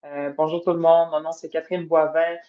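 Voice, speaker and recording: A woman's voice: low-pitched, little pitch movement, brisk. Hesitant woman, lo-fi. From Atikamekw community in Quebec. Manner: hesitant, many fillers and restarts. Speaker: guest artist. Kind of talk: casual podcast conversation. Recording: dull lo-fi sound.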